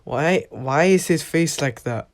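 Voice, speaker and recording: A person giving casual reaction commentary, puzzled and halting, often repeating phrases. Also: medium pitch, expressive, very noisy. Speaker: reactor commenting on a horror animation. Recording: music running under the voice.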